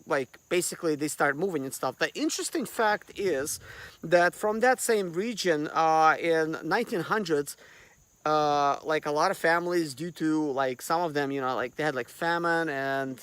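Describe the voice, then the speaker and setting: A man's voice: on the high side, normal speed, a bit bright, clean tone, no echo. A man from Russia, hosting a casual vlog and rambling with lots of fillers. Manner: rambling, full of fillers like "like" and "you know". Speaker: vlog host. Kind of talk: casual chat to camera.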